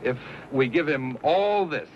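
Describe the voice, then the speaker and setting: An actor playing an insistent, self-assured settler bargaining earnestly in an old western radio drama. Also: male voice, medium pitch, lively intonation, unhurried, lightly acted. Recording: dull lo-fi radio recording, faint noise.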